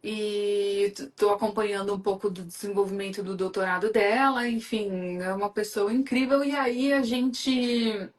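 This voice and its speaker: A woman's voice: mid-range voice, fairly even intonation, moderate pace, few pauses. A woman from Brazil hosting a live stream, talking in a chatty, enthusiastic way with lots of fillers. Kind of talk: casual live chat.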